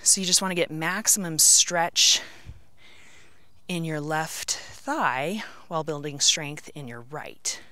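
A middle-aged woman teaching a yoga class, cueing poses and breaths step by step in short, measured phrases. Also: low voice, sharp tone, no room sound. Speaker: yoga instructor. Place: outdoors on an open rocky canyon rim.

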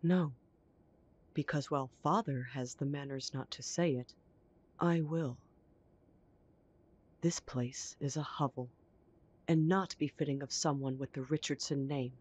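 A woman's voice: rumbling low, fairly even intonation, unhurried, long pauses, a little mellow, husky, exaggerated voice. A woman playing a snobbish young werewolf heiress in an audio drama, with a prim, cutting, disdainful way of talking. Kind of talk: audio roleplay drama acting.

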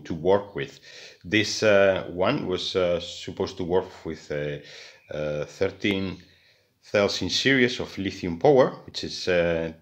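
A man talking through an electronics repair at his workbench, hesitant, with many fillers and restarts. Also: low-pitched. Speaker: electronics hobbyist. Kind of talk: hands-on repair tutorial. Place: indoors at a workbench.

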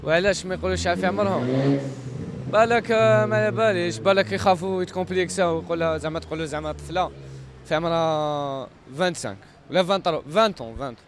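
A young Algerian man in a casual street interview. His slightly high voice rattles along very fast, with faint outdoor city sound. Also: background noise. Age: young adult. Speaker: passer-by being interviewed. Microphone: handheld microphone.

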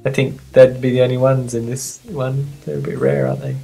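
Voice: mid-range voice, sing-song, a little fast, creaky, mellow, husky, low-quality recording. Sniffly, rambling magazine reviewer over music. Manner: rambling, playful, self-deprecating. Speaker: magazine reviewer and video host. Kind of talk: casual off-the-cuff review chat.

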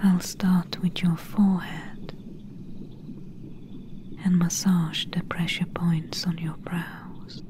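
A woman playing a gentle, soothing swamp witch in a soft-spoken, whispery ASMR roleplay. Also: lowish voice, level tone. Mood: soothing, tender. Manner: slow, hushed, whispery and soothing.

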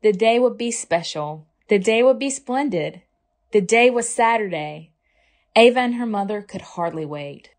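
Slow-paced woman reading a picture book aloud. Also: medium pitch. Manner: expressive, deliberate phrasing. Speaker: library storytime reader. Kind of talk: scripted picture-book read-aloud for children.

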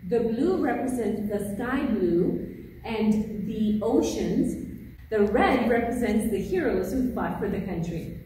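Mellow woman from Ecuador, echoey hall. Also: on the high side, distant mic, slight background noise. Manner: plain, careful explaining with small restarts. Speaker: guest explaining her home country. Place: large hall or gym. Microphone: far camera.

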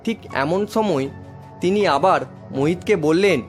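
A man's voice: sits high, a little slow, faint music behind. A man giving scripted narration of a horror story as its storyteller.

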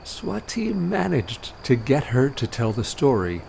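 A man's voice: mid-range voice, very animated, measured pace, gravelly, darkish tone, slight background noise. A man narrating an audio drama as a scripted story.

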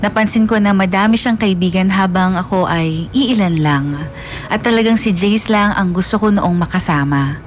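A woman's voice: medium pitch, normal speed, lightly acted. A woman playing a lovestruck narrator who recounts her school crush earnestly and wistfully, as a radio drama confession. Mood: fond. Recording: music under the voice.

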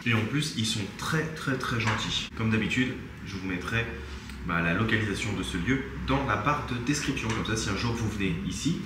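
A man's voice: on the low side, a little fast, noisy background. A man in his thirties chatting enthusiastically to viewers as a travel vlogger, filming himself at arm's length in a small restaurant. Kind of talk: casual travel vlog. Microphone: handheld camera at arm's length. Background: background music.

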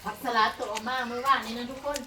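A woman's voice: a little high, little pitch movement, racing. A woman with a Northeastern Thai Isan accent, chatting casually to viewers as a vlogger. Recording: distant mic, clear background noise.

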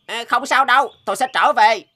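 A woman voicing a brave, kind little toad in an animated fairy tale, earnest and reassuring. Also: a little high, brisk, background noise, natural voice. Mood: eager, confident.

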